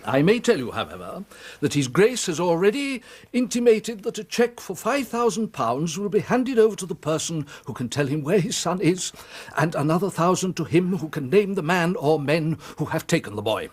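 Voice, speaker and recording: A pompous, flustered headmaster, husky and swooping. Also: male voice, fairly high pitch, big pitch swings, moderate pace. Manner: formal, verbose, agitated. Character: pompous, flustered, long-winded male school headmaster. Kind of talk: period radio drama acting.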